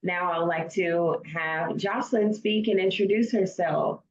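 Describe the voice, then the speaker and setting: A woman's voice: fairly low pitch, unhurried. A woman coach hosting an interview, talking in an encouraging, affirming way. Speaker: coach and host. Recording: echoey, dull lo-fi call audio.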